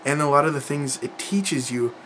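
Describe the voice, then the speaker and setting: A man's voice: on the high side, normal speed, a bit bright, slight background noise, low-quality recording. A young man chatting casually to camera about learning languages, rambling, with lots of fillers and restarts. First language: English.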